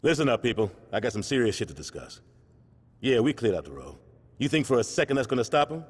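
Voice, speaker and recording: A man's voice: medium pitch, big pitch swings, fast talker, husky. An actor playing a stern, calculating street gang leader, commanding his crew in slang in a video game cutscene. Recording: long hall reverb.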